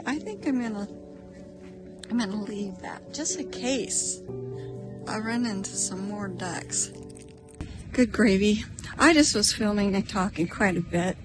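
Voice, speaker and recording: A middle-aged American woman talking casually and reflectively to camera as a vlogger, in a musing, slightly self-conscious conversational way. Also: mid-range voice. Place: outdoors in a park, partly in a parking lot.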